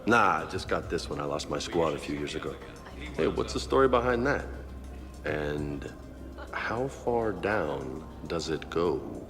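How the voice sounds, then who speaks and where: Deep-voiced brash soldier guy. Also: lively intonation, measured pace, big-room sound, over music, heavily acted. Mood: casual. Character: laid-back, brash young male soldier. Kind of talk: video game dialogue.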